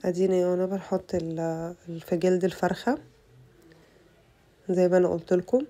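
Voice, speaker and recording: A young Egyptian woman with an Egyptian Arabic accent, her voice rough from a bad cold, chatting to her viewers through a casual cooking tutorial. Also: on the low side, level tone, a little fast, dark tone. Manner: chatty, addresses viewers as girls. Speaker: cooking channel host.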